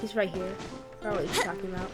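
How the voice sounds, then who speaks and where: Casual woman gamer over loud music. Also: mid-range voice, fairly even intonation, rapid-fire. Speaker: gaming video creator. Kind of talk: casual let's-play commentary. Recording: loud game music behind. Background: loud game music.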